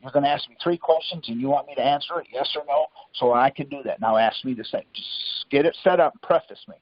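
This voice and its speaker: A man's voice: medium pitch, quick pace, no room sound. A man coaching by question and answer, insistently quizzing his listener in a Socratic way on a conference call. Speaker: teacher leading a group conference call. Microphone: conference phone call. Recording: muffled, lo-fi conference call audio.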